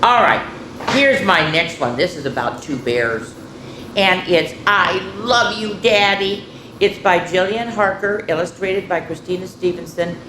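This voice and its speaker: Female children's story host: slightly low, unhurried, expressive, chatty read-aloud, roomy recording with faint noise. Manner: expressive, addressing young children directly. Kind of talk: picture-book read-aloud with casual asides.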